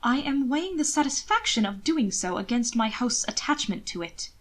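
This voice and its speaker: A woman's voice: on the high side, very animated, moderate pace. Prim, irritable young man of period fiction, read by a woman, guarded and formal. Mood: irritated. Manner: stiff, formal, defensive. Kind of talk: fiction read aloud, character dialogue.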